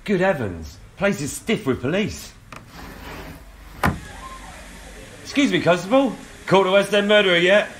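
A man's voice: high-pitched, sing-song, fairly quick, background noise. A man playing a jaunty, wry travelling salesman on a train in a homemade comic radio play. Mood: eager.

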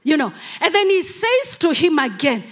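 A woman's voice: sits high, big pitch swings, moderate pace, a bit bright. A middle-aged Kenyan woman whose first language is Kamba, preaching a sermon from a church stage into a handheld mic, with emphatic repeated phrases. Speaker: pastor. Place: large church hall, on stage.

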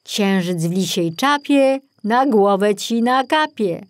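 Storybook forester, a mild man, tired and put-upon, slow and unsure, performed by a female narrator. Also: mid-range voice, expressive, voice pushed up. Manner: sighing, muttering, uncertain.

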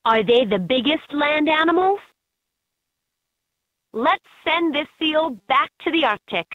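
A woman's voice: high-pitched, expressive, measured pace, no echo. A woman voicing an upbeat guide in a children's educational animal game, in scripted narration with slightly dull game audio.

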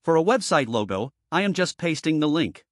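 Male tutorial narrator, slightly high-pitched, scripted instructional read, very dry sound. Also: very animated, a little fast, no room sound. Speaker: programming tutorial presenter.

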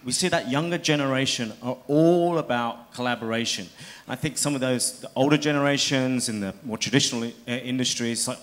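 A man in his thirties speaking earnestly and persuasively as a panelist on a conference stage, with a handheld mic. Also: mid-range voice. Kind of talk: panel discussion. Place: large conference hall on stage.

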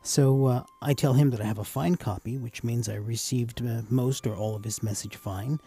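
A man with a gravelly, husky voice giving a casual, explanatory tutorial voice-over as the host of a hobby channel. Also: mid-range voice, steady tone, moderate pace, dark tone. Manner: casual, addresses viewers as guys.

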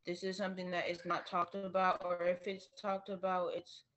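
A woman student on a panel, speaking slowly and hesitantly over a video call, trailing off at the end. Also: lowish voice, level tone, a bit bright. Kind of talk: casual panel comments. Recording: dull lo-fi audio with faint guitar.

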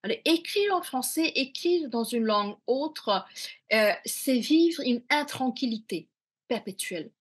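Husky-voiced Bengali-native woman on a video call. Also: high-pitched, lively intonation, measured pace. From India. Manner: reflective, many fillers and restarts, gesturing. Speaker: author. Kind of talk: interview answers. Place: small room indoors at home.

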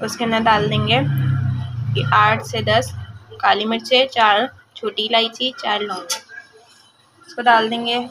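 Woman cooking host, even and homely delivery, phone-recorded in her kitchen with background hum. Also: fairly high pitch, a little slow, long pauses. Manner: homely, repeats "ठीक है" and "ये देखें", explains patiently. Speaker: home cooking channel host. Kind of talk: step-by-step recipe narration. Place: home kitchen.

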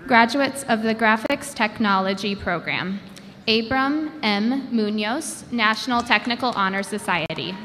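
A woman reading graduates' names one by one at a commencement ceremony, announcing each name with a measured pause through a podium microphone. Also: fairly high pitch, fairly bright tone. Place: large indoor gymnasium. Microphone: podium microphone over a PA system. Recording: long hall reverb with crowd noise. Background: crowd ambience.

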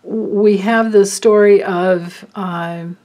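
A woman doing a card reading, thinking aloud with many pauses and fillers. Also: medium pitch, steady tone, darkish tone. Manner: slow, musing, thinking aloud. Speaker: card reader. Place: indoors at a table, hands and cards filmed from above.

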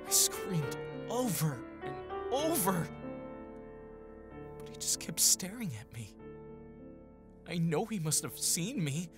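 An actor playing an earnest, haunted young would-be mercenary in an audio drama, with hesitant, emotional delivery. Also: male voice, high-pitched, big pitch swings, slow pace, long pauses, over music, exaggerated voice. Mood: grave, anguished.

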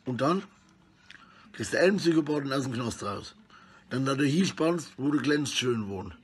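A middle-aged man talks into his phone in a room at home. His voice is husky and unclear at a medium pitch. He rambles casually in coarse slang, often tacking on little tag questions. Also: lively intonation, moderate pace. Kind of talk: rambling casual selfie monologue. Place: small indoor room at home. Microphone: phone at arm's length.

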